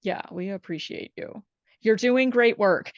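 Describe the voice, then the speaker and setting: A woman hosts a relaxed online talk show, chatty and encouraging. Her voice arrives dull and lo-fi, with a dry, close sound and no room echo. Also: mid-range voice, unhurried. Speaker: host. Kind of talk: casual chat.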